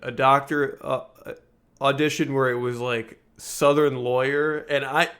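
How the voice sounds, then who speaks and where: A man's voice: mid-range voice, flat delivery, unhurried, fairly bright tone, smooth. A man co-hosting a podcast in loose, casual conversation with a dry joking manner. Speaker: podcast co-host. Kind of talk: casual chat.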